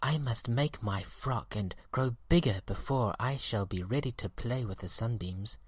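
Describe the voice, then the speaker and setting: A man's voice: medium pitch, measured pace, husky, no room sound, studio quality. Man reading as an eager little flower girl. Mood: patient, busy. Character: restless, eager little female daffodil baby, sweetly friendly.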